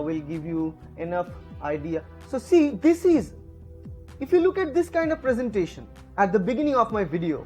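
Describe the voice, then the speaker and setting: A man from India, a native Bengali speaker with an Indian English accent, giving tips to camera with lively swings in pitch and many fillers. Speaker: educator. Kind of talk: lecture to camera. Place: room, alone with camera.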